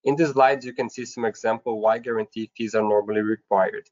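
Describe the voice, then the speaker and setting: A man's voice: lowish voice, steady tone, brisk, a little mellow. A man giving a formal, scripted slide presentation in a webinar, going through his points step by step. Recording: dull, lo-fi online webinar audio.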